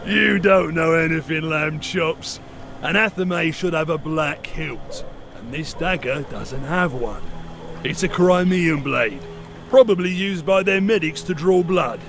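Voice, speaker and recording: An actor playing a rough, cocky, argumentative trader in a medieval video game, speaking coarsely. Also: male voice, fairly high pitch, unhurried, music in the background. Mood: dismissive. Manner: combative, coarse.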